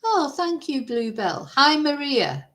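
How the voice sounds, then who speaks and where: A woman's voice: high-pitched, very animated, slow pace, fairly bright tone. Chatty English woman, mild Manchester accent. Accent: softened Mancunian English. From Manchester, England. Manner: chatty, rambling, greets viewers by name. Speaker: true-crime livestream host. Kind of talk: casual livestream chat.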